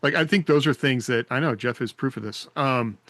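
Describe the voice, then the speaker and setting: A man's voice: medium pitch, a little fast, heavy background noise. An American man co-hosting a podcast, speaking casually and tentatively as he thinks aloud. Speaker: podcast co-host. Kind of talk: casual panel discussion.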